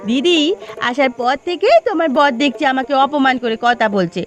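Cartoon role: village family woman voiced by a woman, lively intonation, high register, expressive, easily affronted. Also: normal speed, faint music behind. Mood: offended. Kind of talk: cartoon voice acting.

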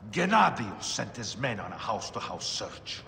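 A man's voice: lowish voice, very animated, normal speed, vocal fry, sharp tone, long reverb tail, slight background noise, exaggerated voice. A man playing a pompous, theatrical and secretive scholar poet in a video game. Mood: grave, confiding. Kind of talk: video game cutscene acting.

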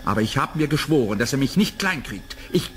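An actor playing a cynical, hard-drinking biologist in a 1980s radio play, defiant but troubled. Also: male voice, mid-range voice, sing-song, a little fast, fairly bright tone, faint music behind. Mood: troubled, shaken.

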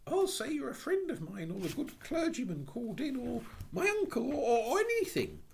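Man voicing an eager young girl, high pitched, breathless, pleading, wildly lively pitch. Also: moderate pace, creaky, a little hiss or hum, exaggerated voice.

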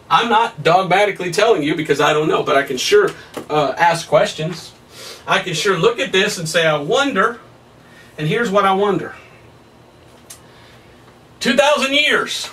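A man in his thirties preaching a Bible study at a whiteboard, emphatic and excitable, his voice somewhat hoarse. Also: high voice, far from the mic. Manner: emphatic, rising to excited peaks, laughs at his own points. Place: small room in front of a whiteboard. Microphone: camera at a distance.

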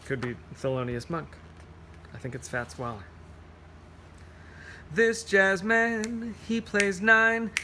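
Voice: medium pitch, takes it slowly, long pauses, pushed and bright, slight background noise. A person reading a picture book aloud to children, playful, with singsong rhymes, scat sounds and casual explanations.

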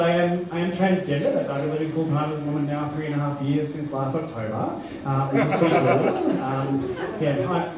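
Middle-aged woman comic, deadpan and halting, recorded far off in an echoey club. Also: sits low, very noisy. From Australia. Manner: deadpan, halting with many ums. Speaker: stand-up comedian. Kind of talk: stand-up comedy set. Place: comedy club stage before a live audience. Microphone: handheld mic, filmed from far in the crowd. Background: audience laughter.

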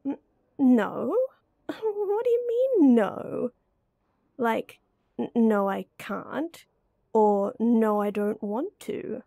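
A woman acting as a young female best friend in a roleplay audio, with a high, mellow voice. She is playful, teasing and coaxing, and takes her time very slowly in a close, dry recording. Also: lively intonation, gravelly, dry recording, full character voice. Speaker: voice actor. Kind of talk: acted roleplay audio addressed to the listener.

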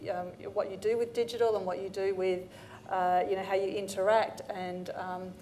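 A woman, speaking thoughtfully on a panel and pausing to find her words. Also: mid-range voice, flat delivery, dark tone, a little hiss or hum. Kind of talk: panel discussion. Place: event studio with branded backdrop. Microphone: lapel mic.

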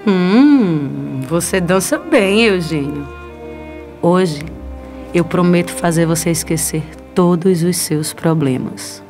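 Low-voiced, slow seductress. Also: female voice, music in the background. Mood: flirtatious. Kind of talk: radio soap opera acting.

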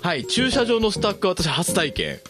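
Male podcast co-host, around 30, slightly high voice, hugely lively intonation, casual explanatory storytelling. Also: normal speed. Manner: animated, explaining, conversational. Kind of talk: casual chat, telling personal stories. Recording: background music under the talk. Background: music.

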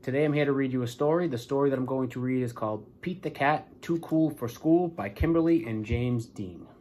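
A man in his forties, a police lieutenant, reading a storybook and speaking to schoolchildren in a plain, deliberate way. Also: mid-range voice, a little mellow, slight background noise. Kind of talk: children's storybook read-aloud and address to pupils. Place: small office, indoors.

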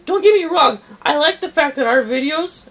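A woman's voice: high voice, expressive, moderate pace, fairly bright tone. A woman chatting casually in a blunt, opinionated way, swearing freely. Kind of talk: casual chat.

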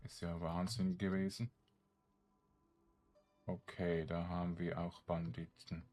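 A man giving casual gameplay commentary, thinking aloud in short, halting phrases. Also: low-pitched, flat delivery, darkish tone. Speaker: let's play streamer.

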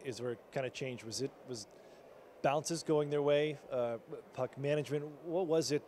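A man's voice: medium pitch, normal speed, warm tone. Give me a male team reporter asking long, winding questions, with a faint hum behind him. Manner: rambling questions with restarts. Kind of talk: postgame interview questions.

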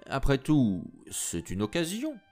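Brief: male narrator playing a jovial, enigmatic older man, lively pitch, faint music behind. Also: measured pace. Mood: reassuring. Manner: good-natured, soothing, a little solemn. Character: jovial, mysterious older man, a jack of all trades. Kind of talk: audiobook reading.

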